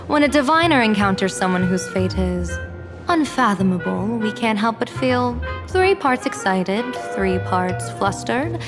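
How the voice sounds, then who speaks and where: Woman acting enigmatic, slow-talking seer strategist over music. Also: medium pitch, expressive, close to an everyday voice. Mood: intrigued. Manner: measured, musing, theatrical fortune-telling delivery with pauses. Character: enigmatic, playful female seer strategist and general. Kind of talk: English video game voice acting. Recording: music under the voice.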